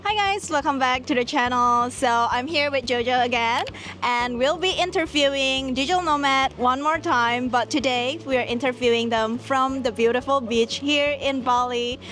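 A woman's voice: sits high, moderate pace, fairly bright tone. A young woman hosting a vlog, upbeat and friendly as she introduces and asks questions into a handheld mic. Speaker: host, interviewer. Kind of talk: vlog interview.

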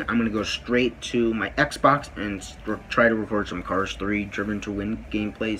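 Deep-voiced teen boy rambling. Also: a bit bright, low-quality recording. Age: teens. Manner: rambling, many fillers and "freaking". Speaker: vlogger. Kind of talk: casual vlog talk to camera. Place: small bedroom indoors. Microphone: phone camera at close range.

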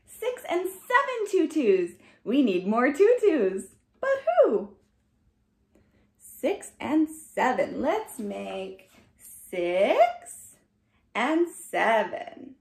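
A young woman reading aloud to small children in a slow, animated, sing-song way, like a dance teacher addressing her class. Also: on the high side, gravelly, reverberant. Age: young adult. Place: small furnished room indoors. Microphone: camera a short distance away.

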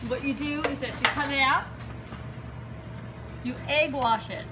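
A woman's voice: high-pitched, measured pace, heavy background noise. A woman in her thirties, a chef giving a live cooking demonstration in a home kitchen, talking in a chatty, enthusiastic way and often repeating herself. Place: home-style kitchen, indoors.

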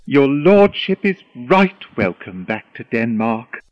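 An actor playing a foppish, flattering courtier in a Shakespearean audio drama, with a mannered, flowery, deferential delivery. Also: male voice, mid-range voice, takes it slowly, dry recording, muffled recording. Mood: obsequious. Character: foppish, flattering young male courtier, wordy and eager.

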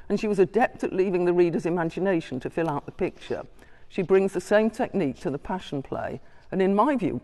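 An older woman giving a lecture read from notes in a large church, measured and wry, recorded from a far camera. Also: low voice, mellow. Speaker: guest lecturer. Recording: long church reverb, distant.

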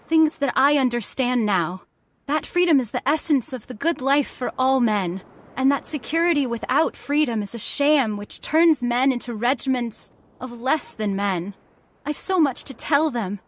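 An actress playing an earnest, emotional young heiress with frightened idealism in a radio horror drama. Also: female voice, high-pitched, lively intonation, a little slow. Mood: earnest, resolute.

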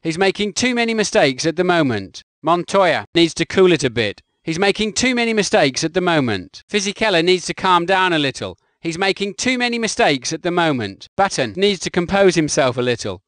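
A man delivering recorded co-commentary lines for a racing game in a measured, matter-of-fact way. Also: high-pitched, sing-song, a bit bright, dry recording, studio quality.

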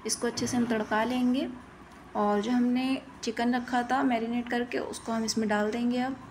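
A woman's voice: a little high, fairly even intonation, moderate pace, darkish tone, a little hiss or hum. A woman giving plain-spoken, step-by-step instructions in a recipe voice-over as host of a cooking channel.

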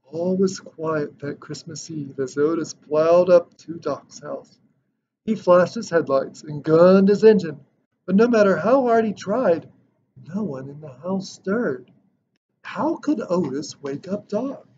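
A man in his thirties reading a children's picture book aloud with expressive, dramatic storytelling. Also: a little high, slow. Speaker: storybook reader. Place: indoor decorated room. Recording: dull lo-fi sound with background noise.

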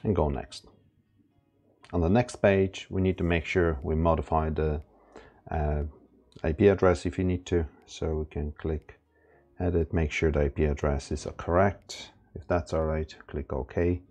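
Very deep-voiced man giving a tutorial. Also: fairly even intonation, unhurried, a little mellow. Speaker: product demonstrator. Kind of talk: casual step-by-step tutorial narration. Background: background music.

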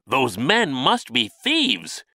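Man acting timid storybook hero, earnest, wondering, raised pitch, lively swings, exclamatory. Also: extremely slow, dry recording, studio quality, heavily acted. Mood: pondering.

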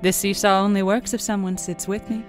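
A woman's voice: mid-range voice, level tone, brisk, faint music behind, close to an everyday voice. An actress playing a wry, feisty woman who hosts a late-night radio advice show, playful and musing in manner. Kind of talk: fiction podcast acting as late-night radio monologue.

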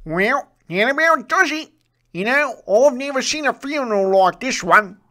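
A man voicing a rough, careless gravedigger in a storybook reading, loud and offhand. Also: very high voice, expressive, moderate pace, voice pushed up, heavily acted. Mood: jovial.

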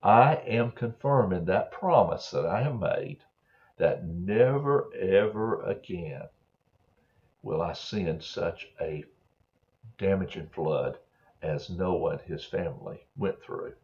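An elderly man in his seventies, a preacher speaking gently and earnestly to children as he shares Bible stories and prayers. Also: low voice, expressive, takes it slowly. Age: 70s. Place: small home office, indoors. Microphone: computer webcam at desk distance. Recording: dull, muffled webcam-style sound.

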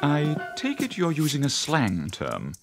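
An actor in a radio drama playing an unflappable, butler-like attendant who speaks formally and politely. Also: male voice, fairly high pitch, wildly swooping pitch, measured pace, gravelly, over music, exaggerated voice. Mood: patient, bemused. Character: courteous, unflappable male butler-like attendant, quietly knowing.

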